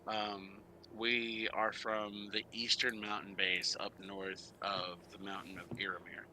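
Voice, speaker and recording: Male tabletop player, very bright, forceful low voice, halting in-character storytelling, faint background noise. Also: level tone, rough recording. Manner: halting, self-correcting, searching for words.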